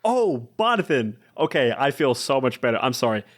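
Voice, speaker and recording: A man plays a kind-hearted adventurer who is easily rattled. He talks informally and haltingly, in a medium-pitched voice, trying to soothe his companions in a tabletop game. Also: sing-song, close to an everyday voice. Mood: relieved.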